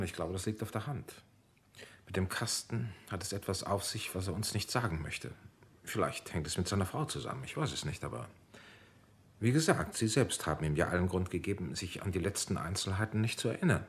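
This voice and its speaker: A low male voice with a composed, clinical manner. a calculating military doctor in a radio drama, explaining things coolly and precisely. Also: animated, brisk. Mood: composed, reproachful. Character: cool, calculating military doctor overseeing an interrogation.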